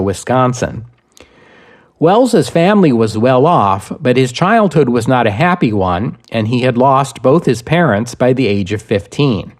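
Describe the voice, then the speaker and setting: A man reading scripted history narration as a podcast host, with measured, deliberate phrasing. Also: mid-range voice, a little mellow.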